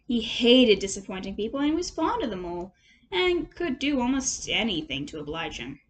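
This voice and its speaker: A woman reading a storybook aloud as an amateur narrator, in an expressive storytelling style. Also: on the high side, moderate pace. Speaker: amateur audiobook reader.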